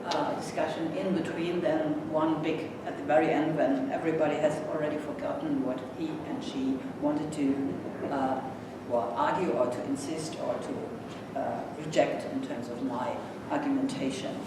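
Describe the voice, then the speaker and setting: A woman in her sixties lectures in English with a German accent, her first language being German. She has a slightly low voice and speaks haltingly and courteously, with modest asides. She is heard from far off in a very echoey, noisy room. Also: lively intonation. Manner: halting, self-deprecating, courteous. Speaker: guest lecturer, professor. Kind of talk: seminar lecture. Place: indoor seminar room.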